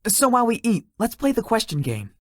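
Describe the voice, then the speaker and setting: A woman's voice: fairly high pitch, big pitch swings, a little fast, dry recording, studio quality. A woman voicing a shy, easily flustered, sweet young man in a visual novel, with a hesitant manner. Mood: friendly, gentle. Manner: hesitant, stammering when embarrassed. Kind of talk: visual novel character acting.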